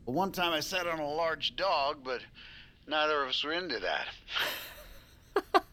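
An actor playing a good-natured, dim vice president in a comic audio drama, casual, guileless and bumbling. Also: male voice, a little high, slow, pushed and bright. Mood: guileless, chatty.

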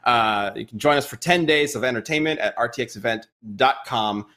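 A man's voice: medium pitch, fairly quick, bright, forceful tone. A man hosting a livestream, chatting casually, teasing his co-host and reading viewer questions. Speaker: host of a web show.

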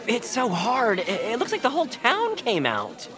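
A woman's voice: on the high side, huge pitch swings, moderate pace, a crowd in the background. A woman voicing an earnest, supportive young friend in a family audio drama, with a slight stammering manner. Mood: incredulous. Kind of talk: acted family audio fiction.

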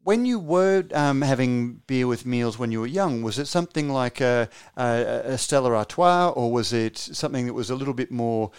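A middle-aged man interviews in a smooth, clean voice of average pitch, recorded close and dry. His questions come out conversational and halting, winding along before they land. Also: expressive, no room sound. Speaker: interviewer, beer writer.

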